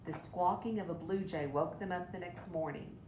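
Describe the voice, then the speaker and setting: A woman's voice: normal speed, darkish tone. A woman reading a children's story aloud as a storybook narrator. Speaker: storybook reader. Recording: echoey room with background rumble.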